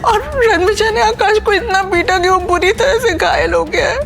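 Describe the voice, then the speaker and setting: A distressed middle-aged mother in an audio drama. She is tearful and plaintive in a very high voice, with faint music behind. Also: moderate pace, a bit bright. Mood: tearful, aggrieved. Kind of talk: Hindi audio series acting.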